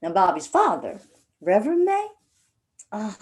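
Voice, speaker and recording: A woman's voice: medium pitch, wildly swooping pitch, unhurried. A woman acting a wistful, plain-spoken hill-country sister in a stage play, with an Appalachian accent. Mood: wistful, fond. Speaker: actor in a one-act play. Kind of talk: stage play dialogue, reminiscing.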